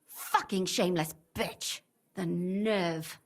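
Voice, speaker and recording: A woman's voice: on the low side, takes it slowly. Blunt, tough medieval tavern woman. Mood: indignant. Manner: blunt, forthright. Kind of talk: video game voice acting, dramatic dialogue.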